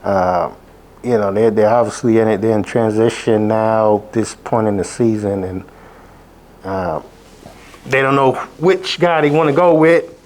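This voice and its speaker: A hoarse man, sick, hosting a casual college football betting recap, rambling with many fillers and restarts. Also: on the low side, a little slow, a little mellow. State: hoarse from illness. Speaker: sports betting show host. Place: abroad, indoors.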